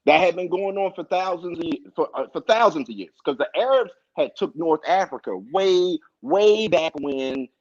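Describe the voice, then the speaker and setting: A man's voice: high-pitched, expressive, moderate pace, hardly any pauses. A man in a heated, informal debate, talking argumentatively in casual slang over lo-fi audio.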